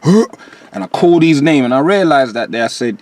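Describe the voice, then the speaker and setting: A man with a London accent talks as a rapper guest in a candid interview. He tells stories in an animated way, full of slang, and his voice sits at a middling pitch. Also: brisk. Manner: lively, rising pitch when quoting himself.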